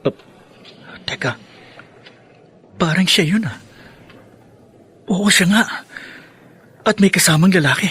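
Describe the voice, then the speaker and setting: Bitter, high-pitched young son. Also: male voice, slow pace, long pauses, fairly bright tone, breathy edge, heavily acted. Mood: resentful, suspicious. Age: young adult. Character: bitter, defiant young son who despises his stepmother. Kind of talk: Filipino radio drama acting.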